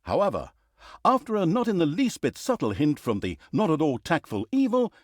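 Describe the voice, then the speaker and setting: A vain, sardonic storyteller for a fantasy video game about evil. His fairly high voice swings dramatically up and down with mock-grand emphasis and wry asides. The recording is very dry and close, with no room sound. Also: wildly swooping pitch, moderate pace, dry recording. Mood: wry, theatrical. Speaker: game narrator. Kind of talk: theatrical comic storytelling narration.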